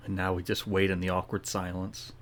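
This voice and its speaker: A woman's voice: very deep voice, little pitch movement, a little fast. A woman giving casual, off-the-cuff playthrough commentary as a gaming streamer.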